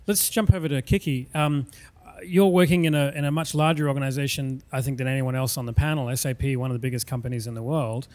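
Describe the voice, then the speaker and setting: A man moderates a panel and talks quickly in a brisk, conversational way. He holds a handheld mic in a bright room with big windows. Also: medium pitch.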